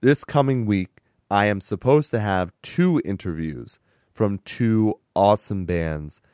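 A man hosting a casual podcast chat with a hoarse, raspy voice that is strained from sickness; he talks in a chatty, rambling way and laughs often. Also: on the low side, a little slow, mellow, no room sound, studio quality. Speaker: podcast host.

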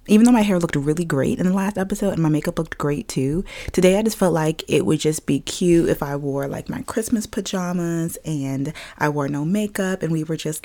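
Low, raspy young woman hosting a podcast. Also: dark tone. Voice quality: raspy, slightly deeper than usual. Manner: chatty, laughs often, uses fillers like "like" and "um". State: scratchy throat. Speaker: podcast host. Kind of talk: casual solo podcast chat. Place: at home, filming herself.

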